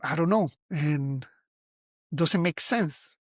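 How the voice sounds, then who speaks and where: A man's voice: on the high side, dry recording, clean studio sound. Musing Costa Rican man in his forties. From Costa Rica. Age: 40s. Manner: musing, halting, many restarts. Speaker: vlogger. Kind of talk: reflective casual monologue.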